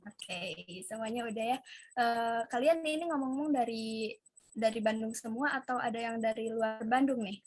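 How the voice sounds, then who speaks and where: A woman's voice: on the high side, steady tone, normal speed. A young woman hosting a casual student interview, chatty and curious as she asks her guests questions. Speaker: host.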